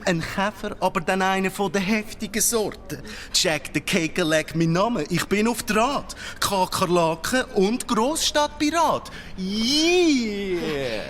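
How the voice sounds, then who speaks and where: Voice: mid-range voice, expressive, slow pace, fairly bright tone. An actor playing a brash, streetwise big-city cockroach in a children's radio play, with Swiss German speech and hip-hop slang mixed with English. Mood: cocky.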